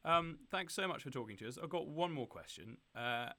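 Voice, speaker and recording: A man's voice: a little high, expressive, brisk, sharp tone, no echo. A man hosting a radio interview, friendly and encouraging toward his guest.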